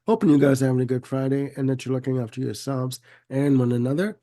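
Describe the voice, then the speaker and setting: A man's voice: medium pitch, steady tone, fast talker, dark tone. A man with a head cold hosting a casual music chat, sounding congested, laid-back and given to repeating himself. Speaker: podcast host.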